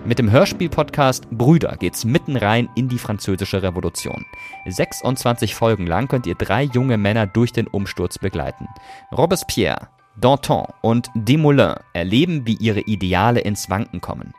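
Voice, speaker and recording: A man's voice: lowish voice, expressive, normal speed, darkish tone, faint music behind. A man, hosting a podcast with scripted narration. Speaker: podcast host.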